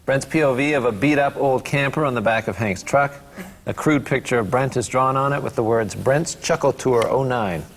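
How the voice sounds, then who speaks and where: A man's voice: mid-range voice, moderate pace. A man reading stage directions and narration aloud at a sitcom script read-through. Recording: long hall reverb, faint room noise.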